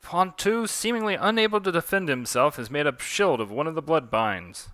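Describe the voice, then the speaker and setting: Man playing an arena commentator, dramatic play-by-play, excitable, animated pitch, dry recording. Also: normal speed, no room sound. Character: excited arena announcer at a magical duel.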